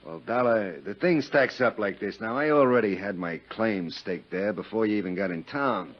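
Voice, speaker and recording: Man acting a crude, pushy, low-voiced blowhard. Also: moderate pace, lightly acted. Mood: pleading, aggrieved. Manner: rambling, pushy, overfamiliar. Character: crude, boastful, jealous Chicago meat-business man. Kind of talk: 1950s radio detective drama acting. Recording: dull vintage 1950s radio recording.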